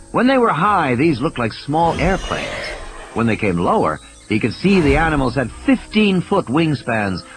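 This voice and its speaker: A man giving a dramatic scripted narration of a story as an audiobook narrator. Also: mid-range voice. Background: music and sound effects.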